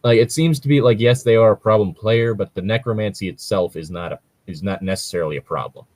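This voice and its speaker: A man giving casual, conversational commentary in a halting way, with lots of filler words and some swearing. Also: on the low side, a little mellow, dry recording. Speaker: podcast host reacting to read-aloud Reddit posts.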